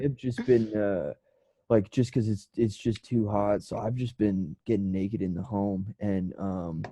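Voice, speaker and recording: A man's voice: on the low side, steady tone, a little slow, dark tone, slightly rough, dry recording. A man with an American accent in casual comedic podcast chat, joking, laughing often and swearing freely. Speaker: podcast co-host.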